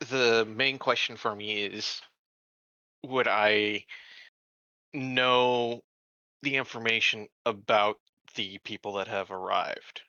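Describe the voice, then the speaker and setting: A man's voice: fairly low pitch, fairly even intonation, slow, sharp tone, dry recording, muffled recording. A man taking part as a player in a casual tabletop roleplaying discussion.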